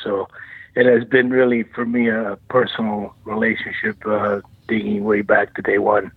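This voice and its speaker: A man in his sixties, originally from the former Yugoslavia, answering radio interview questions in a measured way with frequent fillers and restarts. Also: fairly low pitch, slightly rough, no room sound. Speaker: guest, nonprofit chief executive. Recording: tinny dry phone line.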